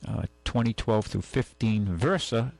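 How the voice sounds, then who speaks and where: A man hosting a call-in radio show, talking casually and haltingly, rambling as he goes and reading out items. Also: mid-range voice, gravelly, a little mellow, no echo. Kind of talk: casual talk radio, reading news and chatting. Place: radio studio. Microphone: close studio microphone.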